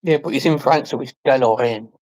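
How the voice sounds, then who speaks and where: A man in his forties chatting casually on his vlog, at an ordinary middle pitch. He tosses out dry, offhand jokes in an easy manner. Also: fairly quick, darkish tone. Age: 40s. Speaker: vlogger. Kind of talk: casual chat.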